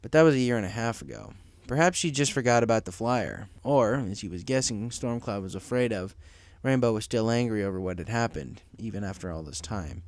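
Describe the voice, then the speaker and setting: A man's voice: fairly low pitch, brisk, few pauses, no echo. A man narrating a scripted story as an audiobook reader, in a dramatic storytelling manner. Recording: music behind the voice.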